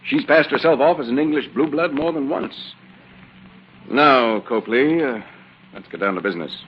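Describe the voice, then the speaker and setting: An actor playing a scheming, commanding kidnapper boss with a suave manner, in 1940s radio style. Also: male voice, mid-range voice, normal speed, lightly acted. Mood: smug. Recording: muffled, faintly noisy old radio recording.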